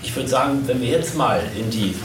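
A man's voice: mid-range voice, brisk. A man hosting a live panel interview, speaking in wordy, reflective phrases. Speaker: podcast host and interviewer. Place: echoey convention room. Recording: echoey room, distant, noisy.

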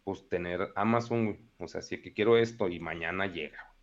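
A man's voice: low-pitched, fairly quick, highs cut off. A man in his thirties with a Mexican accent, talking in a rambling, slangy casual chat. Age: 30s. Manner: slangy, rambling, peppers speech with "güey".

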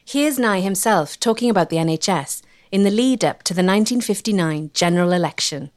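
A woman's voice: medium pitch, animated, brisk. A woman delivering scripted documentary narration.

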